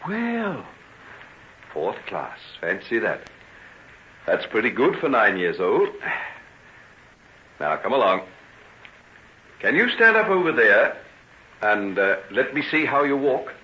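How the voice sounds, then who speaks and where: An actor playing a troubled but kindly doctor in an old-time radio drama, speaking in a soothing, halting way. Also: male voice, medium pitch, huge pitch swings. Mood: gentle, soothing. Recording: dull vintage radio recording with noise.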